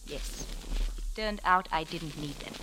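An actress playing a scheming, self-assured young femme fatale in a poised, theatrical 1940s radio drama style. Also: female voice, sits low, measured pace. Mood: smug, guarded. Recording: noisy, hissy vintage radio recording.

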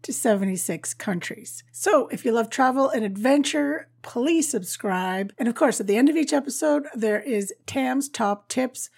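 A middle-aged woman with a raspy voice hosting a travel podcast in an informal, upbeat, jokey, chatty way. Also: on the high side, expressive, moderate pace, few pauses, darkish tone. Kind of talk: chatty solo podcast storytelling.

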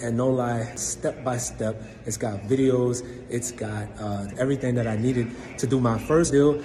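A man's voice: medium pitch, fairly even intonation, normal speed, a crowd in the background. A man giving a casual, informal spoken testimonial as a satisfied customer.

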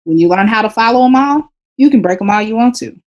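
A woman's voice: on the high side, lively intonation, fast, dull audio. A mother in a casual podcast chat, talking in a direct, firm way. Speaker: parent.

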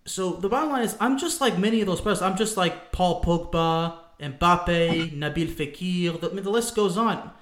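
A man's voice: high-pitched, moderate pace, few pauses, fairly bright tone, echoey room, slight background noise. A man from France co-hosting a sports talk show, emphatic and repetitive, calling listeners "folks". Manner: emphatic, repeats himself, addresses listeners as folks. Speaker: podcast co-host.

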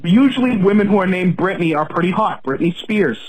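Male caller, slightly high voice, taunting and scornful, voicemail playback. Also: expressive. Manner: mocking, taunting, drawn-out asides. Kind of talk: hostile voicemail rant. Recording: voicemail played over phone line.

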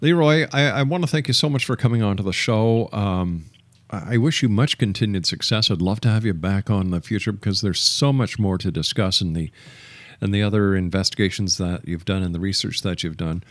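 A man hosting a radio interview, speaking politely in deliberate phrases. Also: fairly low pitch, no room sound. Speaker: radio show host and interviewer.

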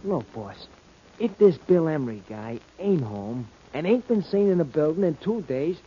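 A slow-witted, eager henchman of old radio drama. The voice is very high for a man and swoops around. He stammers through naive slang on a muffled vintage recording. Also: sing-song, slight background noise. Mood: eager, puzzled. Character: dim, eager, admiring sidekick, adult man. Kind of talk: 1940s radio comic-sidekick acting.

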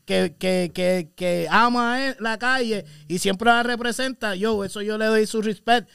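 A Puerto Rican man from Carolina chatting earnestly on a podcast, halting and animated. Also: high-pitched, creaky, clean tone, no echo, clean studio sound. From Carolina, Puerto Rico. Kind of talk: casual chat.

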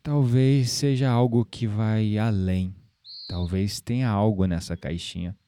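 An actor playing a gentle, earnest spiritual confidant with a Brazilian accent in an audio drama. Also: male voice, on the low side, fairly even intonation, a little slow, clear, resonant tone, natural voice. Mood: gentle, mysterious.

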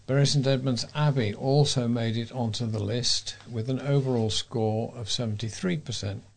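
A man reading scripted local news as a volunteer newsreader, in a measured way. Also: medium pitch, fairly even intonation, gravelly.

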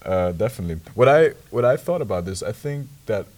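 A man in a casual podcast chat who agrees often and pauses with hesitant fillers. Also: on the low side, lively intonation, darkish tone.

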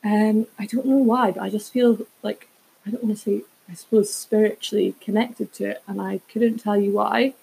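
A woman's voice: mid-range voice, fairly even intonation, moderate pace, vocal fry, mellow, breathy edge, poor audio. A woman, chatting casually as a solo podcast host in a rambling way with self-aware asides. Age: 20s.

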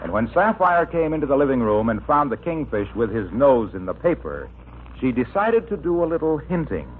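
A man's voice: medium pitch, sing-song, a little fast, a little hiss or hum. A man announcing and narrating a scripted mid-century radio comedy in the style of that era. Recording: tinny old radio recording.

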